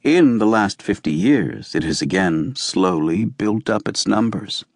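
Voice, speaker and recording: A man reading a novel as an audiobook narrator, with measured, deliberate phrasing. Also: lowish voice, expressive, creaky, dry recording, studio quality. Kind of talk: scripted literary narration.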